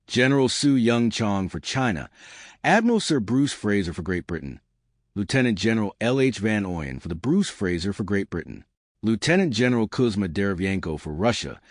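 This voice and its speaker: Low-voiced man reading news, animated pitch. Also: normal speed, no room sound, studio quality. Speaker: narrator reading newspaper articles. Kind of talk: scripted news reading of archival headlines.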